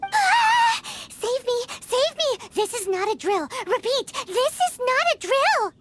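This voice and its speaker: Casting: a very high, bouncy-voiced tiny fairy sidekick, excitable, drawn-out delivery, noisy capture. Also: female voice, exaggerated voice. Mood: panicked. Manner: squeaky, talks of herself by name, panicky. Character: tiny chirpy female fairy-like companion, excitable. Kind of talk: game cutscene voice acting.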